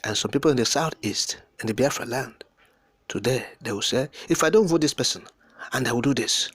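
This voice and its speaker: A man's voice: mid-range voice, a little fast, a bit bright, husky, no room sound. Emphatic Nigerian man giving political commentary. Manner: emphatic, oratorical, repeats and piles up words for emphasis. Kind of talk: impassioned political commentary, unscripted.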